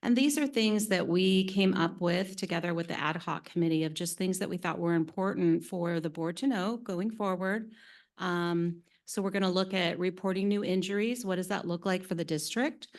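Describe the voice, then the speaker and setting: A woman with a slightly low voice walks a board through a presentation in a meeting room. She keeps it friendly and chatty, with easy laughs, and speaks into a conference microphone. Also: moderate pace. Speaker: presenter from claims team.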